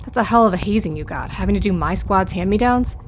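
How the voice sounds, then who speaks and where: A clipped, businesslike female squad agent played by an actress in an audio drama. Her mid-pitched voice is no-nonsense, with faint ambience behind. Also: expressive, fast talker, slight background noise, lightly acted. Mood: brusque. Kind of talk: comedic audio drama acting.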